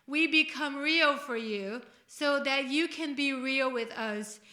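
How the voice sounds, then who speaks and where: High-pitched Brazilian woman, bright voice. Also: slow. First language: Portuguese. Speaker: podcast host. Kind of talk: casual podcast conversation. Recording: roomy, echoey room sound.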